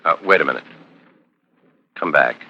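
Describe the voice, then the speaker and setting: An actor playing an ambitious, tormented, possessive husband in a 1950s radio suspense drama, with brooding, intense, clipped delivery. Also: male voice, a bass voice, moderate pace, dull audio, noisy background. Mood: bitter, accusing. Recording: old 1950s radio recording with music.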